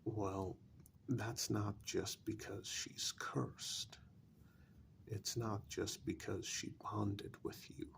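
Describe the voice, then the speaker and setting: Casting: male performer as a patient, apologetic confidant in a gothic vampire role-play, low voice full of heavy fry, hushed delivery. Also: little pitch movement, a little hiss or hum, exaggerated voice. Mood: grave, explanatory. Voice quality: soft, breathy, gravelly. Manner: slow, measured, patient, with long pauses. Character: calm, apologetic male confidant explaining a vampire curse in an old castle. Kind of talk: soft-spoken ASMR role-play.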